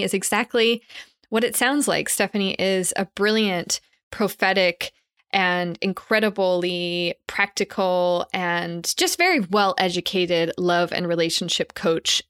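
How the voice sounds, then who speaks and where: A woman's voice: medium pitch, fairly bright tone, no room sound, studio quality. A young Canadian woman hosting her podcast, chatting casually and enthusiastically with frequent restarts. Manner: enthusiastic, gushing, many restarts. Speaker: podcast host.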